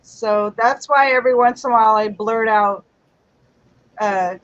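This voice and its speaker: A woman's voice: on the high side, unhurried, a bit bright, dry recording. A woman guest on a casual live panel, friendly and agreeable. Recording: dull dry call audio.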